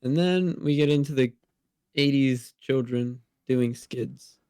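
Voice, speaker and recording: Teen guy doing casual recap on a podcast, medium pitch, dry sound. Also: slow pace, warm tone, clear, resonant tone, dry recording. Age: teenager. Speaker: podcast co-host.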